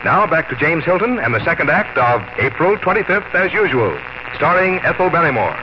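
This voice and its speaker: A man's voice: fairly high pitch, very animated, brisk. A man delivering a scripted 1950s radio sponsor message as announcer, in a polished, earnest way. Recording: muffled, noisy 1950 radio broadcast.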